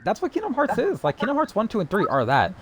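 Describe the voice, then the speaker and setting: Animated man commentating on a game stream. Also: high-pitched, fast, breathy edge. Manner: chatty, opinionated, enthusiastic about games. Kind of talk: casual live stream commentary. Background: faint game music.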